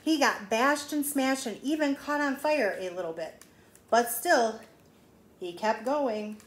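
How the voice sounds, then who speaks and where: A woman's voice: on the high side, slow. A woman reading a picture book aloud to young children like a teacher, emphatic, with explanatory asides. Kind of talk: expressive read-aloud storytelling for young children. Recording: echoey room with faint background noise.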